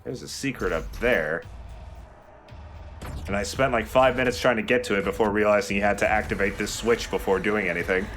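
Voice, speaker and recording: A man's voice: on the low side, fairly quick, a bit bright. A man giving casual, explanatory game commentary as a let's play host. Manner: explanatory, conversational. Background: game music.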